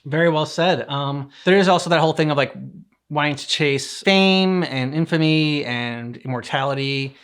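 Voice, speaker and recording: A man co-hosting a game reaction show, chatting casually in a halting, loosely worded way as he thinks aloud. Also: fairly high pitch, fairly bright tone, clear, resonant tone. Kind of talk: casual chat.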